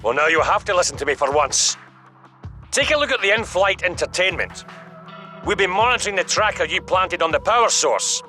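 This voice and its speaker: A man's voice: sits high, animated, brisk, bright, forceful tone, faint music behind. A man playing a stern but concerned spymaster in a video game, giving earnest orders. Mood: insistent, grave. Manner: commanding, earnest. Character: stern, concerned male spymaster commanding by radio. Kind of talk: video game cutscene acting.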